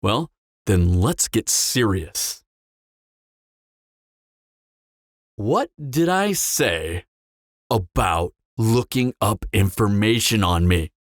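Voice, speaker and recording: A man's voice: fairly low pitch, no room sound, studio quality, full character voice. Man acting a smug, swooping flirtatious vampire. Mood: menacing, smug. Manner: teasing, smug drawl with dramatic pauses. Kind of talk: scripted audio roleplay, intimate character acting.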